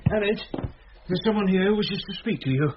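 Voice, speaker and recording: A man's voice: sits high, lively intonation, brisk, dull audio, a little hiss or hum. An actor playing an anxious, protective householder in a radio drama, a British man who stammers nervously and speaks deferentially. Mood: polite.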